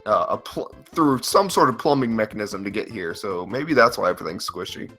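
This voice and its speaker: A man's voice: fairly low pitch, animated, fairly quick, sharp tone, slightly rough. Male crew member, dry and wry casual banter, music in the background. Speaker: member of a read-along crew. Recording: music playing behind the voice.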